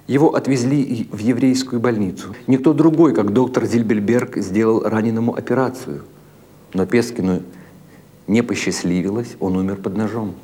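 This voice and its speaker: A man narrating literary short stories as a dramatic audiobook, in a measured, deliberate way with expressive phrasing. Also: fairly low pitch. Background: music behind the reading.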